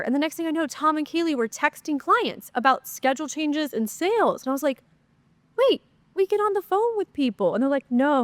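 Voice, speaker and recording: A woman's voice: high voice, dry recording. A woman hosting her own podcast, talking in a chatty, upbeat way with plenty of fillers, her voice a bit nasal and stuffy from a cold. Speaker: podcast host. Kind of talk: solo podcast Q&A, casual chat.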